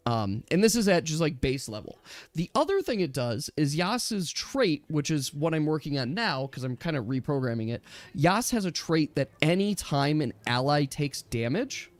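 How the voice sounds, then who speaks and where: A man chatting casually as a game master, with an enthusiastic, rambling way of explaining things to his players. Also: a little high.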